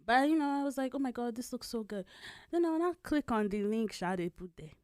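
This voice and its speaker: A woman's voice: on the high side, animated, brisk, a little mellow, clean tone, no echo, studio quality. A woman in her twenties, co-hosting a podcast in casual chat, sometimes slipping into a girlish baby voice and laughing often. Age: 20s. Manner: chatty, laughs often, trails off and restarts. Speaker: podcast co-host.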